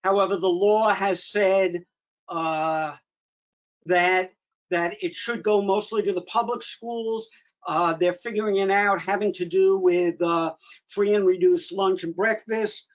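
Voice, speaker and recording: A man hosting an online meeting, giving informal updates in a halting way with frequent pauses mid-sentence. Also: high-pitched, steady tone. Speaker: meeting host, association official giving updates. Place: remote video meeting. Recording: dull lo-fi online meeting audio.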